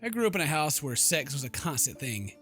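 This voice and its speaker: Man, gaming commentary, theatrical and slangy, swooping pitch, bright tone, reads game text aloud, music behind. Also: sing-song, brisk. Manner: goofy, slangy, theatrical exclamations. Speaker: gaming YouTuber.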